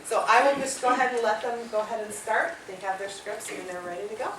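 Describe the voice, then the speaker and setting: A woman's voice: fairly high pitch, fast, a bit bright. Woman host, casual live intro, recorded far from the mic in an echoey room. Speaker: presenter introducing a children's readers theater show. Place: indoor room at a public library. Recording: distant mic, echoey room, clear background noise.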